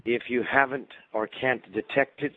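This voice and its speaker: A man's voice: medium pitch, steady tone, slight background noise. Man losing his voice on a phone line. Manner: measured, explanatory. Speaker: webinar presenter. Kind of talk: marketing research webinar presentation. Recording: tinny phone-line audio.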